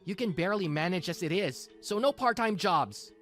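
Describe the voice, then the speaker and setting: A scornful young husband acted by a woman in a manga drama, low in pitch with lively swings. He is callous and spoiled, with music underneath. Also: moderate pace, lightly acted. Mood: scornful, indignant. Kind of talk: manga dub drama acting. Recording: music behind the voice.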